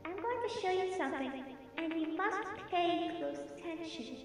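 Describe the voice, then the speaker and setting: A woman's voice: very high voice, animated, normal speed. A woman voicing a solemn young filly ghost who speaks formally as a spirit guide in a fan-made audio drama. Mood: solemn warning. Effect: long ghostly reverb. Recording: echoey reverb, distant, music behind.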